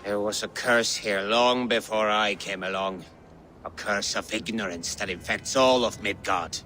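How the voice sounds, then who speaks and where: An actor playing a proud, bitter outcast warlock in a video game, measured and disdainful. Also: male voice, fairly low pitch, sharp tone, a little hiss or hum. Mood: scornful. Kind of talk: video game character acting.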